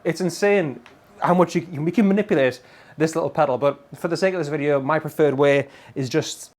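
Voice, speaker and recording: High-voiced man demoing guitar gear. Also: brisk, darkish tone. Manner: enthusiastic, informal. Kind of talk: casual product demo. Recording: electric guitar playing behind voice.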